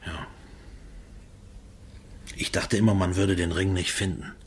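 A man's voice: sits low, fairly even intonation, a little slow, slight background noise. A man playing an uneasy, shifty murder suspect in a radio crime play, hesitant and evasive. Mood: relieved. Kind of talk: German radio drama acting.

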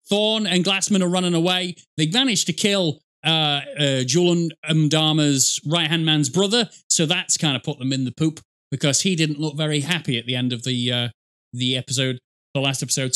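A man's voice: a little high, sharp tone. A middle-aged man giving casual gaming commentary as a reaction host, rambling, sarcastic and sweary, close on the mic in a small room.

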